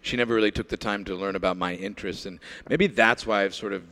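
A man's voice: lowish voice, fairly even intonation, fast, fairly bright tone, close to an everyday voice. A man playing a needy, murderous doctor in improvised comedy, earnest and confessional. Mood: earnest confessing. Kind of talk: improvised comedy parody scene.

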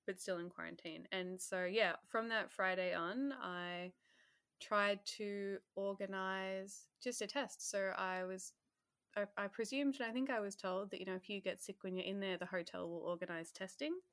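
A woman, a healthcare worker telling her story in a casual, animated interview and acting out her own earlier remarks. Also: mid-range voice, moderate pace, no echo, studio quality.

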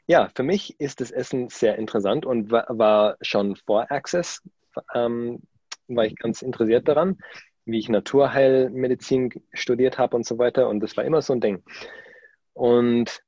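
A man who was born in Germany and grew up in Australia, speaking somewhat halting German with a background, full of fillers and restarts, as a guest in a casual interview. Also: medium pitch, warm tone, no echo, lo-fi sound. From Germany, raised in Australia.

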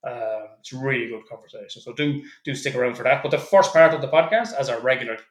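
A man's voice: mid-range voice, fast talker. Man chatting in an echoey room. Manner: swearing, laughing, teasing banter. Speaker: podcast host and psychotherapist. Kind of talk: casual podcast chat.

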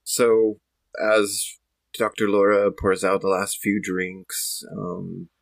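A man improvising narration for a tabletop roleplay game, halting as he searches for words. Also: on the low side, level tone, creaky, dry recording.

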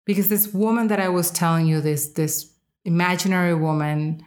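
Female podcast host with a fairly low voice, delivering frank, emphatic opinion commentary in casual speech. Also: steady tone, moderate pace, darkish tone. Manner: emphatic, candid, with casual swearing.

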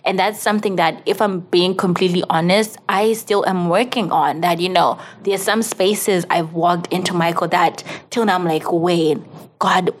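A woman's voice: on the low side, steady tone, normal speed. A young woman from Zimbabwe, a podcast guest, talking earnestly and almost like a sermon, with lots of "like" and "you know". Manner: earnest, sermon-like motivational delivery with many "like" and "you know" fillers. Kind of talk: casual interview conversation.

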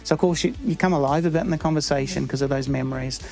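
A middle-aged man from New Zealand with a New Zealand accent, answering interview questions in a halting way with many fillers and restarts. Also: on the high side, a little mellow. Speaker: church member.

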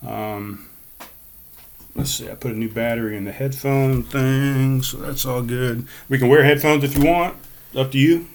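A man hosting a casual podcast from his home studio, talking with a big, projecting voice and a rambling, relaxed flow. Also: medium pitch, normal speed. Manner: loud, projecting voice. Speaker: podcast host. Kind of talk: casual chat. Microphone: studio mic on a board.

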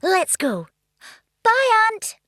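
An impatient little girl adventurer in a children's drama, with a very high, lively voice. She is eager and takes her time over words, close and dry. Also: drawn-out words, no echo, exaggerated voice. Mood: eager, pestering. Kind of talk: children's audio drama acting.

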